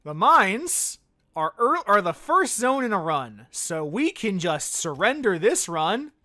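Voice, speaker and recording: A man streaming a video game, thinking aloud in relaxed, wry commentary. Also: high-pitched, slow. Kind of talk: casual gameplay commentary. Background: game music.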